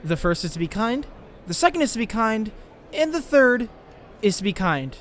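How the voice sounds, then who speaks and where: A man's voice: high-pitched, big pitch swings, normal speed, a bit bright, in a large hall, a little hiss or hum. A man playing an earnest, nerdy, anxious teenage boy in a dramatized comic dub. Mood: earnest, playful. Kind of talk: dramatized comic dub, dialogue and first-person narration.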